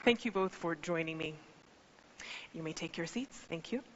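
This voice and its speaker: A woman's voice: lowish voice, normal speed, highs cut off, slight background noise. A woman moderating a formal panel, addressing dignitaries in a polished manner. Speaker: event moderator. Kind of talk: formal event hosting.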